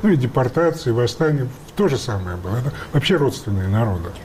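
Male panelist, challenging and quick to interject, warm low tone, faint hum. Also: sing-song. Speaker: studio guest. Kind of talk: panel debate.

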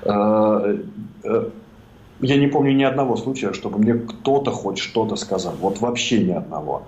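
A man from Russia with a Moscow accent, speaking as a remote guest in a discussion, halting with frequent fillers and restarts. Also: lowish voice, darkish tone. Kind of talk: discussion contribution. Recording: dull lo-fi remote line.

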